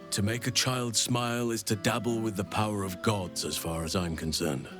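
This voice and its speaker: Guy doing a wise old druid man from a fantasy game, low voice, gentle n measured, music behind him. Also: fairly even intonation, lightly acted. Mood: reflective, content. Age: older. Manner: measured, reflective, formal wording. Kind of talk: video game character dialogue.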